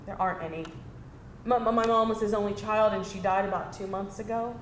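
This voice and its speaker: A woman's voice: medium pitch, fairly even intonation, a little fast, close to an everyday voice. An actress playing a stressed, apologetic woman of twenty-five in a live screenplay table read, stammering and hesitant. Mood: overwhelmed. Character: stressed, apologetic 25-year-old woman caring for her grandfather. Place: indoor live table read before an audience. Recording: roomy live stage recording, faint noise.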